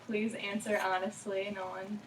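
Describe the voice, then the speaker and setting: A woman in her twenties moderating a student focus group, with many pauses and ums. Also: mid-range voice, little pitch movement, slight background noise. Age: 20s. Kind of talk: scripted moderating, reading rules. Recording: echoey room, far from the mic.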